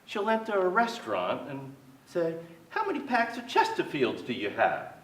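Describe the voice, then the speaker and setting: A man's voice: a little high, wildly swooping pitch, normal speed, a bit bright. A man in his sixties playing a wistful, wry aging playwright, reminiscing in a theatrical, reflective staged reading. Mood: wry, fond. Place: theater stage before an audience. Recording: echoey theater stage, distant mic, faint noise.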